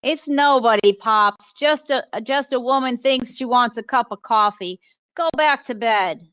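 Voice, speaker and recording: A woman acts out a grumpy country woman who runs a roadside stand in a radio play. She sounds fairly high and close in a dry room, folksy and scolding, full of suspicion toward strangers. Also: a little slow, a bit bright, clean tone, no room sound, rough recording. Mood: curt, dismissive. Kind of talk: amateur radio play acting.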